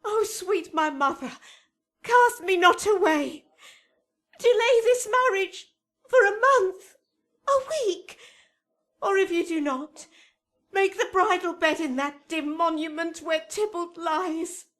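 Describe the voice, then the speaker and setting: A young noblewoman desperate with love in a Shakespearean radio play. Her voice sits high. She declaims slowly, with theatrical passion. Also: fairly bright tone, exaggerated voice. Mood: pleading, anguished. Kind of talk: Shakespearean radio drama acting.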